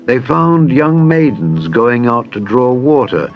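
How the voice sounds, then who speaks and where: A man reading dramatized Bible narration in a solemn, measured storytelling manner. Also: mid-range voice, lively intonation. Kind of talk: dramatized scripted scripture narration. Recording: dull lo-fi audio over music. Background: music.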